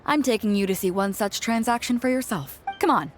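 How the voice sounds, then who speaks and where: A woman's voice: on the high side, brisk, soft background music, close to an everyday voice. A woman voicing a bold, confident captain of an armed pirate fleet in a video game, with a commanding, teasing manner. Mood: businesslike. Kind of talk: video game character acting.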